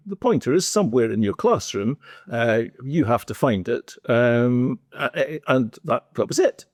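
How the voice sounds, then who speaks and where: A man's voice: medium pitch, no echo, studio quality. A man with a Scottish accent co-hosting a podcast, telling wry stories from his teaching days in a casual chat. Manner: animated, wry, teasing. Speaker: podcast co-host, former teacher.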